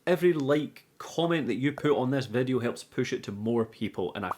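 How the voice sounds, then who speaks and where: A man's voice: medium pitch, animated, fairly quick, darkish tone, slight background noise. A young man giving a casual, sweary movie recap as a review host, chatty and irreverent with cheeky asides. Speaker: host of a film review channel.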